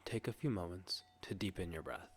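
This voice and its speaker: A man leading a scripted guided meditation, speaking gently and soothingly in a hushed way with long pauses. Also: deep voice, slight background noise.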